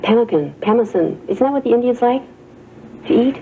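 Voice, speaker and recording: Naive eager young maid in a soap, high voice bouncing around, muffled tape. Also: female voice, animated, fairly quick, slight background noise. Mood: eager, curious. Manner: curious, artless, solicitous.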